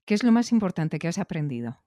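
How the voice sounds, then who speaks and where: A woman's voice: fairly low pitch, sing-song, quick pace, dark tone, dry recording. Woman from Spain interviewing, dry close sound. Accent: Castilian Spanish. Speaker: podcast interviewer. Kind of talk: conversational interview.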